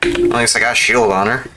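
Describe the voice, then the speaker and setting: A man's voice: mid-range voice, steady tone, moderate pace, sharp tone, low-quality recording. A man giving casual live gameplay commentary, exclaiming and mildly swearing at the game as he talks to it. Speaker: video game streamer. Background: video game music.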